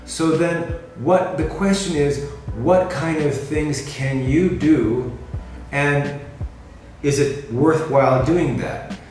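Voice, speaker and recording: A man's voice: fairly high pitch, a little mellow, slight background noise. American man in a very echoey room. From California, USA. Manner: measured, rephrasing as he goes. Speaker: freelancer presenting himself. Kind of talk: casual talk to camera. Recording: very echoey room, mic at a distance, music behind.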